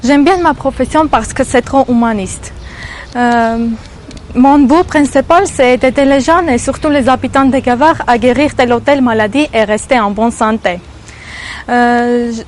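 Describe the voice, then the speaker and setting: High-voiced woman in her twenties. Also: moderate pace, slight background noise. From Armenia. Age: 20s. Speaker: doctor's assistant.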